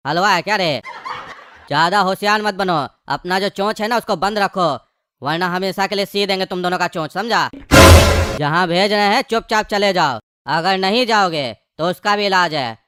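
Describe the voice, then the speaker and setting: Casting: commanding cartoon gangster voiced by a man, bright and menacing, very dry close sound. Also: high voice, moderate pace, clean tone, no echo. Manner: threatening, bossy. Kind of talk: comedy cartoon voice acting.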